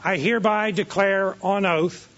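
A man's voice: sits high, steady tone, a little slow, fairly bright tone. A man speaking as a court official in a formal ceremonial proclamation. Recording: dull audio with clear background noise.

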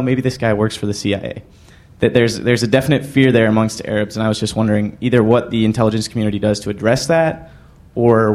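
A young man at an audience microphone asking a panel a polite, tentative question with many ums. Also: fairly low pitch.

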